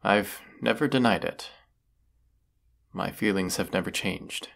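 A man playing a brooding villainous wizard in a fantasy audio roleplay, stern and formal in his deliberate delivery. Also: on the low side, gravelly, a bit bright, exaggerated voice. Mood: wistful, restrained. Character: brooding villainous wizard of the woods, secretly lovelorn.

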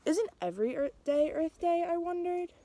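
A young adult woman reading a picture book aloud outdoors, with a measured, expressive storytelling delivery. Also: very high-pitched. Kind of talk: storybook read-aloud, narrated as a tree. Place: outdoors in snowy winter woods.

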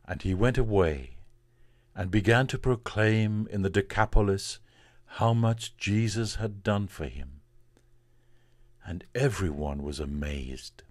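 A man, a priest, reading from the Bible in a solemn, measured and deliberate way. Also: on the low side, expressive, no room sound.

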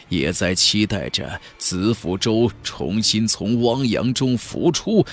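An ancient clan elder from a fantasy audiobook, acted by a man. He is low and unhurried, reverent and sorrowful. He recalls the past in archaic words, as if lost in a dream, over soft music. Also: heavily acted. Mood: wistful. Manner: slow, dreamy, archaic storytelling. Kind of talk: audiobook character voice in a fantasy novel.

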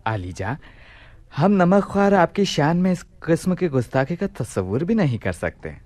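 An actor playing a servile palace courtier with ceremonious, deferential delivery in a radio play. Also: male voice, on the high side, very animated, normal speed, darkish tone, no room sound.

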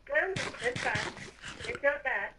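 A woman's voice: sits high, animated, slow pace, background noise. A woman improvising casual roleplay while gaming, giving instructions like a peacemaker. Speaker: player in a group game roleplay.

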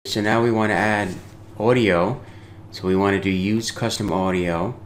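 Low-voiced man giving a tutorial, noisy background. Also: steady tone, normal speed. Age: middle-aged. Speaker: tutorial host. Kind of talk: software tutorial narration. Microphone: close desktop microphone. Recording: clear background noise.

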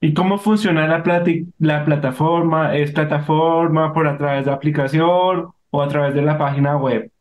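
A man hosts a casual podcast interview, upbeat and friendly in his questions. His voice sits a bit higher than most men's. The room around him is roomy and echoey. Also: fairly even intonation, normal speed, hardly any pauses. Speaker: podcast host. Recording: roomy echo.

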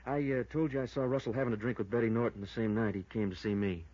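An actor playing a plain-spoken, wary small-town deputy sheriff in a 1950s radio drama, folksy and deliberate. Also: male voice, mid-range voice, fairly even intonation, warm tone, lightly acted. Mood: matter-of-fact. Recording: muffled old radio recording.

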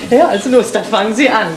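An actress playing a chatty older female shop customer in a conversational, expressive way, in 1980s TV film style. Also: on the high side, roomy sound, close to an everyday voice.

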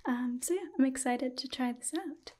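Young woman, soft breathy whisper, mellow tone, ASMR presenting, gentle volume. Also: high voice, moderate pace. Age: 20s. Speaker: ASMR video creator reading a sponsor message. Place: small indoor room.

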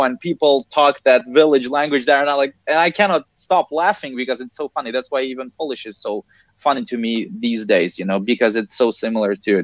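A man's voice: medium pitch, no echo. A young man from western Ukraine with a Ukrainian accent, chatting casually on a video call as a drummer guest, with many restarts and fillers. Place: small home room. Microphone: headset on video call. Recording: dull dry video-call audio.

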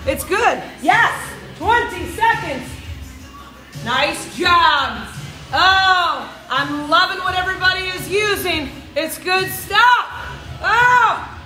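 A woman in her thirties leading a live workout class as a fitness coach, bubbly and encouraging, cheering and counting down the intervals. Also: sits high. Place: large gym with hard walls. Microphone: camera mic a few steps away. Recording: echoey gym with music behind. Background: workout music.

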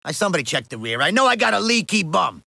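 A man voicing a surly, gullible middle-aged bartender with an explosive temper and a gravelly, blustering delivery in an animated sitcom. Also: sits high, fast talker, dry recording, clean studio sound, exaggerated voice. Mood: earnestly calling out. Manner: blustering, threatening.

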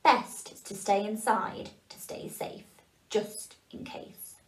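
A woman voicing a wise, kind woodpecker who explains things in a calm, reassuring way. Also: medium pitch, takes it slowly, roomy sound, natural voice, low-quality recording.

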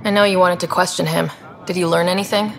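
A woman playing a young, calm and direct space explorer in video game dialogue. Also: lowish voice, fast talker, creaky, slight background noise. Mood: curious, earnest. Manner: straightforward, calm.

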